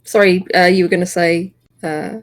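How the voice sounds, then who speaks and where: A woman's voice: lowish voice, level tone, moderate pace. A woman with a British accent co-hosting a podcast, chatting casually and appreciatively about video games. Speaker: podcast co-host. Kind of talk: casual video game discussion.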